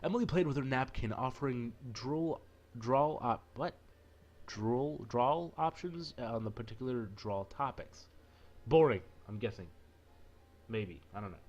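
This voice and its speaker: A man's voice: mid-range voice, lively intonation, normal speed, a little mellow, dull audio. Playful man narrating a visual novel let's play. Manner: playful, irreverent, swearing asides. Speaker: gaming video host. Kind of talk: casual visual novel let's play, reading aloud with joking asides.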